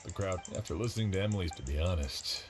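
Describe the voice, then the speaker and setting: A man playing an earnest male official in an anime-style video game, speaking in a measured way. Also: low voice, music in the background, close to an everyday voice. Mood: earnest, puzzled. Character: earnest male official investigating a crime with helpers.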